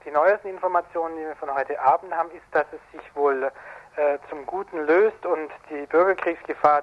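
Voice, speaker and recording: A man giving a news preview as a radio reporter, speaking haltingly over a dull remote link. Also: a little high. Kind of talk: live news preview by link from a branch studio. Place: radio branch office, connected remotely.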